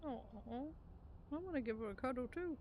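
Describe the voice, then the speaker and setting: A woman's voice: sits high, expressive, normal speed, a little mellow, a little hiss or hum. A woman giving casual, chatty playthrough commentary, talking to herself and repeating phrases as she plays. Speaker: gaming streamer. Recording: music behind the voice.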